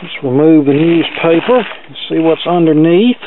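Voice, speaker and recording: A man's voice: a little high, animated, normal speed, narrow, tinny audio, noisy background. A man hosting a casual unboxing video, giving practical advice in a plainspoken, folksy, matter-of-fact way. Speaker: video host, record collector.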